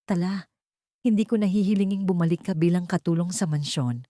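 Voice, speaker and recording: A remorseful, wealthy father in a romance audiobook , acted out by a woman narrator. He pleads earnestly and tenderly in a low voice, recorded dry. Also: fairly quick, dark tone, no echo, natural voice. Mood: tender pleading.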